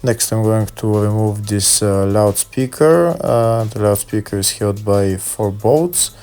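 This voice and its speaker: Male how-to narrator, lower register, dark soft tone, plain and halting delivery. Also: soft background music. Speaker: car repair video host.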